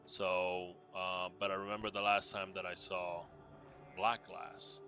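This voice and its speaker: A man from Puerto Rico with a low voice that still has a bright, forward edge talks through his game builds in a relaxed, meandering way. He speaks slowly, circling back with fillers and restarts. Soft music plays faintly underneath. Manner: rambling, laid-back, many fillers and restarts. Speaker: gamer showing off character builds. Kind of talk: casual game showcase chat.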